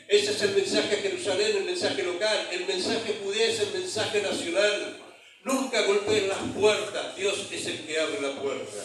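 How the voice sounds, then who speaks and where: A man's voice: high voice, fairly bright tone, far from the mic. A man from San Juan with an Argentine accent, preaching a sermon as pastor, telling stories and calling out to the congregation. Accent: Argentine Spanish. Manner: storytelling with call-and-response to the congregation. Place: church hall. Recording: very echoey hall, voice from afar.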